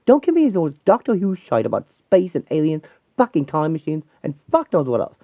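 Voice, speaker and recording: Man doing a scolding sweary woman, pitched up. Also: huge pitch swings, brisk, no room sound. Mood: shaken, demanding. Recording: thin phone-like sound.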